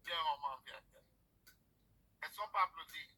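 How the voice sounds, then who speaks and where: An event host introduces a guest speaker with emphatic, rallying energy. The voice is bright and somewhat husky, and it comes through a thin, phone-like recording. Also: medium pitch, moderate pace.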